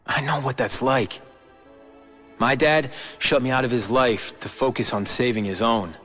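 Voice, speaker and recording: A man's voice: mid-range voice, fairly even intonation, a little slow, fairly bright tone. A man with an American accent voicing an embittered, brooding young corporate heir in a video game cutscene. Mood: bitter, resigned. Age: young adult. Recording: long hall-like reverb. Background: background music.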